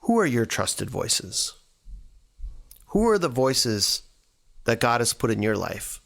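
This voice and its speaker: A man with a mid-pitched voice delivers a scripture reading and reflection. His intonation moves up and down a great deal. He phrases things deliberately and earnestly, like a church staff member leading a devotional. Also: sing-song, vocal fry, a bit bright.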